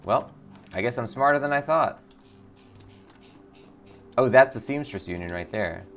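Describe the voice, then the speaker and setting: A man's voice: medium pitch, lively intonation, measured pace, fairly bright tone. A man hosting a game playthrough, reading narration and adding his own commentary. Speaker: gaming channel host. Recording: clear background noise.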